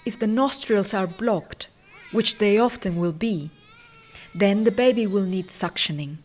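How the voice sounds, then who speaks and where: A woman's voice: mid-range voice, unhurried. Instructional woman narrator with faint background noise. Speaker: training film narrator and instructor.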